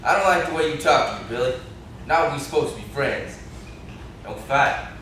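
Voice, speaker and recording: A man's voice: mid-range voice, lively intonation, moderate pace, a bit bright. A young man acting in an amateur stage play as a simple, touchy backwoods dog breeder with a naive rustic way of talking. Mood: hurt. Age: young adult. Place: classroom, indoors. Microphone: far camera. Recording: echoey classroom, distant camera mic, noisy.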